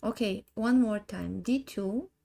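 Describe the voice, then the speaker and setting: Armenian woman in her 30s, chess streamer, slow halting delivery, dark gravelly tone. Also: medium pitch. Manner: thinks aloud, slow halting English. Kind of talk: live chess puzzle stream.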